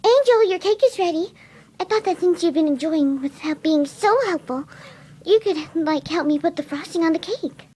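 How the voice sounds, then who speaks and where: A very high, soft and sweet cartoon pony. She is timid and gentle, cooing in a motherly way like a doting caretaker in a fan-made story reading. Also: animated, normal speed.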